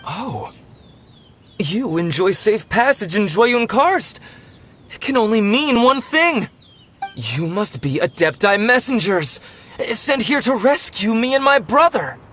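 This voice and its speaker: Humble young game villager, high for a man, desperate and fawning, music clearly underneath. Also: sing-song, slow pace, fairly bright tone, exaggerated voice. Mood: pleading, bewildered. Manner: pleading, fawning, fretful. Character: desperate, humble, poor young male treasure hunter pleading for help. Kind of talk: dramatic fantasy game voice acting.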